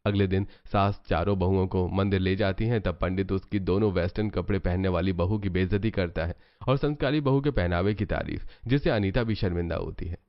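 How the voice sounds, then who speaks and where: A man's voice: low voice, no room sound, dull audio. A man reading scripted storybook narration. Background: background music.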